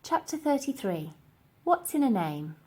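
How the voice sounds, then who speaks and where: Slow, expressive woman reading a storybook. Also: sits high, a little hiss or hum. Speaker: storybook reader. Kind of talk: expressive children's story narration.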